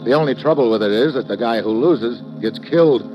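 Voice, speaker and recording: An American actor playing a tough, wisecracking government agent in clipped, wry 1950s radio drama style. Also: male voice, mid-range voice, brisk, lightly acted. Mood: wry, hard-boiled. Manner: clipped, hard-boiled, wry. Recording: dull vintage radio recording, faint music.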